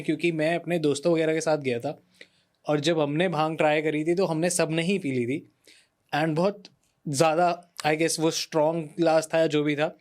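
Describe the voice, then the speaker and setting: Young man hosting casual chat. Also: fairly high pitch. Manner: mixes English phrases into speech, repeats phrases for emphasis. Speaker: podcast host.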